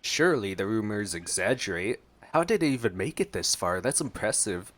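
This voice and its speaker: A man's voice: on the low side, expressive, a little fast. A man streaming a game with casual reaction commentary, given to wry, joking asides. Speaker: gameplay streamer. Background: faint game music.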